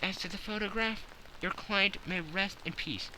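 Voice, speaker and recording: A man's voice: high-pitched, normal speed, noisy background, pitched above the performer's own voice, natural voice. A man voicing a poised, teasing young actress. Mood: firm. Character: clever young actress writing a letter.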